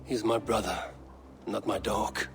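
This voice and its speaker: An actor playing a blunt, loyal Viking warrior and protective sibling, firm and terse in a dramatic video game. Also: male voice, on the low side, fairly even intonation, unhurried. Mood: stern. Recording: music behind the voice.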